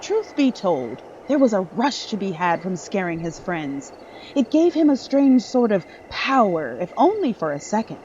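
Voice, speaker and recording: A woman narrates a dark audio drama with expressive, swooping intonation. The sound is slightly muffled, with faint noise behind her. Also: medium pitch, measured pace. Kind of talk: dramatic scripted horror-story narration.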